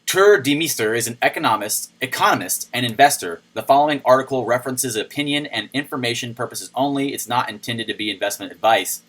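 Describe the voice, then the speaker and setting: Man, low voice, casual news commentary, chatty, playful, host. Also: a little fast, hardly any pauses. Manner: chatty and playful, with sudden shouted jokes. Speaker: crypto news video host.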